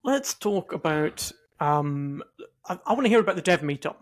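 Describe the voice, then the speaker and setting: A man with a slightly high voice for a male speaker. He is an easygoing podcast co-host who banters casually and chips in agreeably. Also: lively intonation, normal speed. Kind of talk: casual chat.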